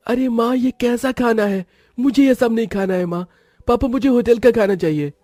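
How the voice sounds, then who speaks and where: Woman playing a whiny high-voiced little boy. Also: moderate pace, warm tone, no echo, faint music behind, close to an everyday voice. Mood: complaining. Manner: whiny, pleading. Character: stubborn, whining young boy, picky about food.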